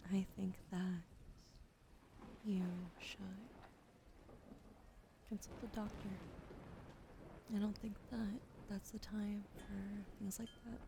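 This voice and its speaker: A woman whispers close to the mic in a relaxed, playful ASMR style, her voice carrying a gravelly, fry-tinged texture. She still has a slight cough from a recent illness. A steady hiss sits under the home-stream audio. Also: medium pitch. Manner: soft whispering, chatty and playful. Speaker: livestreamer.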